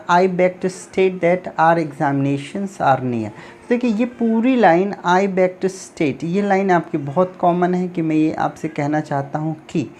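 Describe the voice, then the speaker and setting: A man's voice: on the high side, a little mellow, slight background noise. A man from India teaching an exam lesson, explaining step by step and repeating points with frequent check-ins. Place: recorded at a computer.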